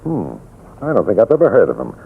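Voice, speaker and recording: An actor playing a hearty, plain-spoken major with a gravelly, husky voice in a 1940s radio drama. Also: male voice, on the low side, wildly swooping pitch, fast, exaggerated voice. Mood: genial, curious. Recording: tinny 1940s radio recording.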